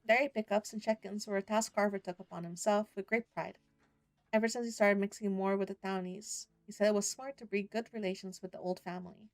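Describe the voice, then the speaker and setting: A woman reads as an earnest young country boy telling his own story in a visual novel. The delivery is plain-spoken, downbeat and wry, close and dry over soft music. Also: mid-range voice, steady tone, brisk, no echo, natural voice. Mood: wry, wary. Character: earnest, glum youngest brother, rural visual novel hero. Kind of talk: first-person visual novel narration and dialogue.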